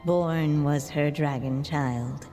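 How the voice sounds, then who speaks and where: A woman playing a solemn storybook narrator in a game, grave, formal and measured, in long echoing reverb. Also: deep voice, mellow, soft background music. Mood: solemn, tender.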